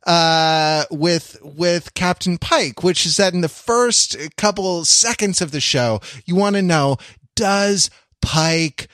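A man's voice: high voice, slow, a bit bright, dry recording, studio quality. A man co-hosting a podcast in casual chat, rambling with frequent false starts and comic exaggeration. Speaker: podcast co-host. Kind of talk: casual panel chat about television.

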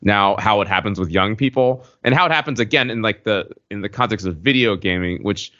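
A man co-hosting a casual podcast discussion, speaking haltingly and circling back to restate his points. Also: on the low side, sharp tone, no room sound, lo-fi sound. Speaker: podcast co-host.

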